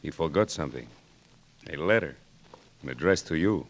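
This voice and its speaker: An actor playing a stern, formal Spanish army lieutenant with measured delivery in 1940s radio drama style. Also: male voice, very deep voice, animated. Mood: deferential. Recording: faintly noisy old radio recording.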